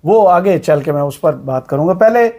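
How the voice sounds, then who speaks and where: A man hosts a political talk show, and his voice sits high for a man. He talks in a conversational way, with a sarcastic edge as he puts his questions. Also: lively intonation, moderate pace, mellow. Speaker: host.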